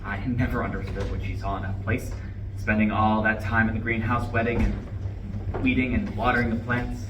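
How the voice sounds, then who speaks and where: A man's voice: fairly low pitch, level tone, moderate pace, lightly acted. A man playing an eager, anxious young husband who wants to please his wife, in a live play reading. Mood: uneasy. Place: live reading in a university room. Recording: roomy, distant mic with faint noise.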